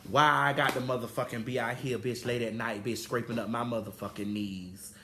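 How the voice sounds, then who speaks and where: A woman's voice: extremely low pitch, fairly even intonation, a little fast, background noise. A woman in her forties hosting a casual talk show, profane and preachy, drawling out her stories. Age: 40s. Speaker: host.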